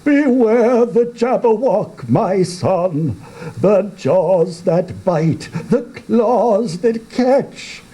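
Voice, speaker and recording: A man voicing a theatrical, protective father in a dramatic verse recitation, exclaiming with drawn-out words. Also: sits high, lively intonation, mellow, husky, pitched above the performer's own voice, heavily acted. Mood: urgent warning. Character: fretful protective father, swooping from warning to gleeful joy.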